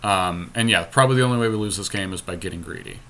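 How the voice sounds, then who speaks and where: A man with a fairly low voice and a bright, forceful edge. He works through game moves out loud, counting and reasoning as he goes, in the casual style of a podcast co-host. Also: quick pace. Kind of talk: casual strategy commentary while playing a card game.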